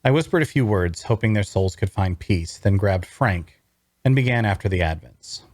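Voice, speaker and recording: A man playing a brooding adventurer, narrating his grim journey in a measured, deliberate way at a scripted table read. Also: fairly low pitch, expressive, darkish tone, no echo, clean studio sound.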